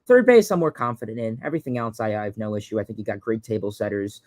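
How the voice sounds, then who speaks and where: A man's voice: fairly low pitch, fast, darkish tone, no echo. A man in his early twenties from Long Island, New York, hosting a casual livestream and answering viewer questions. He rambles and repeats words, with lots of fillers. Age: 20s. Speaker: livestream host.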